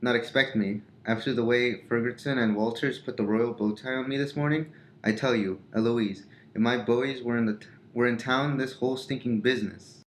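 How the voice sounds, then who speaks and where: A man plays a weary husband home from a bad day in a radio drama parody. He blusters gruffly and haltingly in exasperation. The sound is echoey, with faint background noise. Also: mid-range voice, fairly even intonation, close to an everyday voice. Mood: exasperated. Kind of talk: mid-century stage drama parody on radio. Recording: echoey room with noisy ambience.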